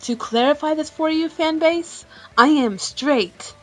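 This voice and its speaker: A woman's voice: sits high, measured pace, a bit bright, voice pushed up. A stern, composed cat heroine with a short temper, played by a woman. She answers in a controlled, curt manner as a fiery cartoon character in a fan question show. Music runs in the recording. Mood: offended. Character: female cat, older, stern, easily irritated, fiery.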